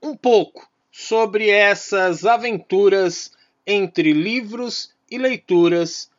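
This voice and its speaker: High-voiced male podcast host, lo-fi sound. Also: drawn-out words. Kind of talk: scripted introduction. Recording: dull lo-fi recording.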